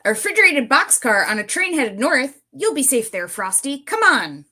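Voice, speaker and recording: A woman's voice: high voice, very animated, normal speed, pushed and bright, breathy edge, full character voice. A woman voicing a polite, helpful young girl in a cartoon script reading, speaking earnestly. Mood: urgent, reassuring. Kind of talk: cartoon table read.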